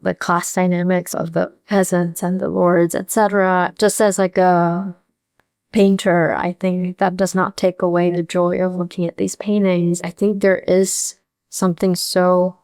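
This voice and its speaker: A woman painter, a native Korean speaker, answering interview questions thoughtfully with many pauses and fillers. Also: on the low side, little pitch movement, creaky, a little mellow, clear, resonant tone, no room sound.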